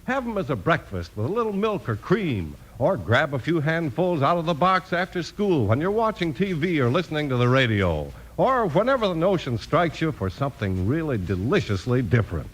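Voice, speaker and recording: A man announcing in polished, animated 1950s radio style, like a sponsor's salesman. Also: on the high side, normal speed, hardly any pauses, rough recording.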